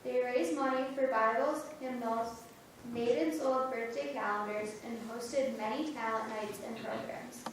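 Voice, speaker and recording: A woman's voice: a little high, fairly even intonation, unhurried, background noise. A woman performing reader's theater, steadily reading scripted church history in a church. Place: church sanctuary. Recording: echoey church from far off.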